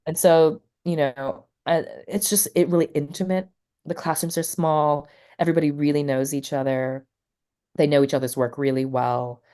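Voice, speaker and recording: A low-voiced woman speaks as an interview guest, a poet who also teaches. She talks casually and rambles, leaning on "like" and "you know" and restarting her sentences as she goes. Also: steady tone, moderate pace, dark tone.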